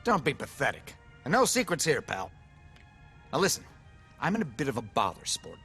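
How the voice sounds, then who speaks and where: An actor playing a smug, cynical, needy politician in a video game, with a pompous, glib and effusive manner. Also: male voice, mid-range voice, huge pitch swings, moderate pace, a bit bright, husky, soft background music, full character voice. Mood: candid, impatient. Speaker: politician character. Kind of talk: video game cutscene acting.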